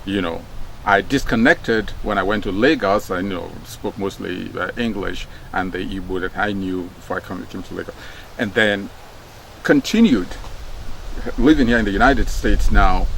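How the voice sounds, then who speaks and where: A middle-aged Nigerian man with a low, gravelly voice speaks in an easygoing, reflective way. He fills his pauses with "um" and "you know" and lifts his voice when he drives a point home. Faint background noise with insects sits behind him. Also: a bit bright, rough recording. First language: Nigerian Pidgin. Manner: unhurried, many fillers, rises when stressing a point. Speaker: speaker, former broadcaster. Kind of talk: casual reflective talk.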